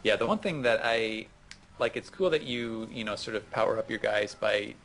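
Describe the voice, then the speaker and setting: Lowish creaky guy explaining game stuff casually, kinda hesitant, keeps rephrasing. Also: moderate pace, fairly bright tone, faint music behind, poor audio. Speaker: gaming co-host giving tips. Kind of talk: casual gaming chat.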